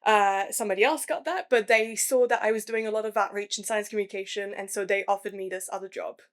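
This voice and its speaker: A woman's voice: medium pitch, fairly even intonation, quick pace. A young woman from New Caledonia, a museum science communicator chatting enthusiastically about her career, with frequent fillers. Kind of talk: casual career talk.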